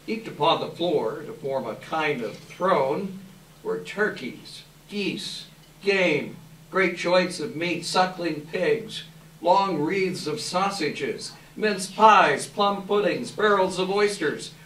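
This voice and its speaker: A man's voice: a little high, a bit bright. Male storyteller for readers theater; leisurely, descriptive reading, roomy echo, slightly off-mic. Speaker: narrator and reader. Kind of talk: scripted readers theater narration. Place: indoor live event, distant microphone. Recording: echoey hall from far off, faint noise.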